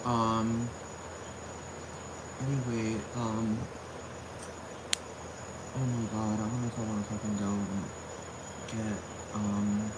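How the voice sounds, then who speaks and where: A man's voice: medium pitch, very noisy. A man with a Puerto Rican accent ranting casually in a rambling, profane way, full of fillers. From Puerto Rico. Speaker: vlogger. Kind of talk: casual rant. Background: crickets and insects.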